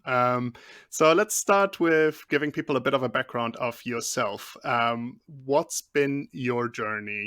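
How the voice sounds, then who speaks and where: A man's voice: medium pitch, bright, forceful tone, no echo, clean studio sound. A man hosting a podcast, asking questions in a friendly, conversational way with some fillers. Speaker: podcast host.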